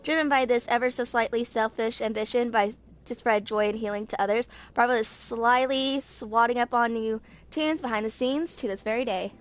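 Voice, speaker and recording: A woman in her twenties reading story text aloud as an amateur video creator, haltingly, with stumbles and misreadings. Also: on the high side. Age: 20s.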